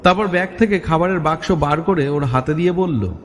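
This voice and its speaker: A man's voice: fairly high pitch, brisk, a little hiss or hum. A man narrating a horror story in a dramatic, atmospheric way. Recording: long reverb, music underneath. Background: music.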